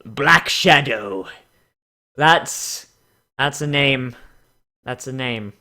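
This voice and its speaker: A man's voice: medium pitch, extremely slow, sharp tone. A man giving casual comedic commentary and mock dramatic readings in a sarcastic, theatrical, foul-mouthed way. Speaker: YouTube host.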